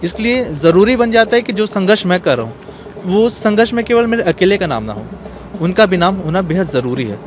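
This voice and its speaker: Male interview guest, high-pitched and melodically varied, explaining, with faint street noise behind. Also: big pitch swings, brisk. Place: outdoors on a bench in a courtyard. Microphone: interviewer's handheld microphone.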